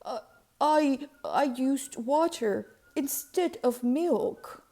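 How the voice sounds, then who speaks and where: A woman's voice: sits high, animated, drawn-out words, mellow, voice pushed up, exaggerated voice. A woman voicing an anxious, humble male baker in a storybook, pleading and hesitant. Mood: ashamed. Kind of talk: storybook character voice.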